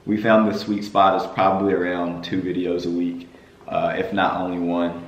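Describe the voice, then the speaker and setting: A young man with a hoarse, worn-out voice chatting casually on his family vlog, with a playful preacher-like cadence. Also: low voice, flat delivery, normal speed, echoey room, dull audio, a little hiss or hum. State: hoarse from screaming at games. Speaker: vlogger.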